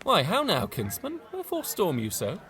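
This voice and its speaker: Man playing a high, swooping jovial old lord. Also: huge pitch swings, moderate pace, exaggerated voice. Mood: puzzled. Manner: exclamatory, swooping, hearty. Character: jovial, bustling old lord hosting a feast. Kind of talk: Shakespearean audio drama. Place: home recording. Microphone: phone. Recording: loud party music and crowd behind.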